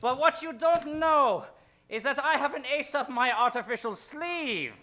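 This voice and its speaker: An actor playing a gloating, grandiose villain with artificial hands in a melodramatic old-time radio comedy. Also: male voice, very high voice, lively intonation, measured pace, sharp tone, full character voice. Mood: gloating, menacing.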